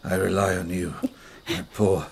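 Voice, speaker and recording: Cast a man as a weak, gravelly dying missionary, slow and tender. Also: flat delivery, slight background noise. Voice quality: deep gravelly voice. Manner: slow, faint, tender speech. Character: dying older male missionary, gentle and pious.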